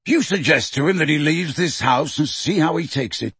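A man plays a bitter grown man who answers coldly and impatiently, his pitch moving a lot. The voice is husky and dry. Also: expressive, moderate pace, fairly bright tone, dry recording, heavily acted. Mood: cold, curt. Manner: cold, curt, impatient. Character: bitter cold-spoken grown man, house heir.

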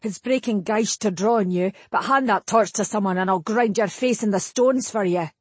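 A woman's voice: mid-range voice, normal speed, slightly rough, no room sound, natural voice. Woman voicing a sneering hotheaded young guardsman. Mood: snarling. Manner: taunting, sneering, childish howls.

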